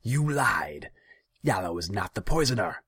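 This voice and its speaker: A man voicing a cruel, hot-tempered young khan in a fantasy story, loud, indignant and imperious. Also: low-pitched, fairly even intonation, a little slow, no echo, clean studio sound, voice pushed up. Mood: outraged.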